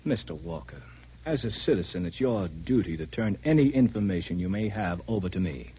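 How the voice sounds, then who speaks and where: An actor playing a stern, skeptical military major in a 1950s radio drama. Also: male voice, low voice, expressive, brisk, breathy edge, heavily acted. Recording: muffled 1950s radio recording.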